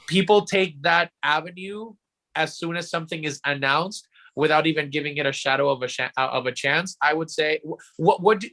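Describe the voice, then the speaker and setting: A man with a forceful, bright voice that sits slightly high co-hosts a podcast. He lays out news items conversationally, as if discussing them with friends. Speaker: podcast co-host.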